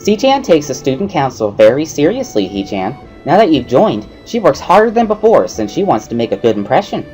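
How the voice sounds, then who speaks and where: Casting: male reader as perky, sing-song schoolgirl for a game story, very high and lively. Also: fairly quick, hardly any pauses, faint music behind. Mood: pleading, earnest. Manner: exclamatory, gushing, sing-song. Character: cheerful, bubbly, excitable teenage schoolgirl. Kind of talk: dramatic reading of a visual novel.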